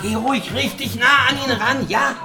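An actress playing a busy, casual director at an advertising shoot, giving informal instructions in a drama. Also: female voice, a little high, normal speed, gravelly, music in the background. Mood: instructing, upbeat.

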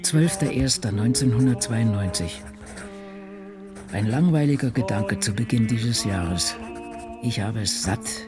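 An older woman playing an ageing, world-weary writer confiding dryly and introspectively in her diary in a radio play. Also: rumbling low. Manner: brooding, dry, introspective. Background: soft music.

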